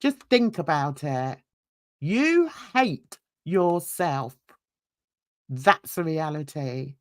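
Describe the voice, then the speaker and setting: A woman's voice: low-pitched, lively intonation, extremely slow, dry recording, clean studio sound. An older woman, ranting bluntly and indignantly while reading a livestream chat and talking to viewers. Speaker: YouTube commentator.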